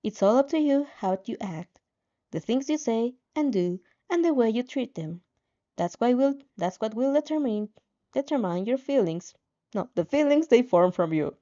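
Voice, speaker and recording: A woman plays a game-like system guide in a story. It sounds instructive and cagey, a little high in pitch and matter-of-fact, through dull lo-fi audio. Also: lively intonation, normal speed, dark tone, close to an everyday voice. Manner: explanatory, instructive.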